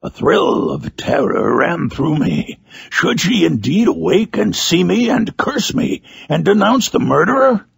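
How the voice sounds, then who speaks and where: A menacing outcast creature telling his dark tale like a gothic storybook. The voice is deeply gravelly and husky, with huge swings in pitch. He speaks slowly and theatrically, brooding and bitter. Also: sing-song, dry recording, heavily acted. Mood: tormented, bitter. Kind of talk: dramatic first-person literary monologue.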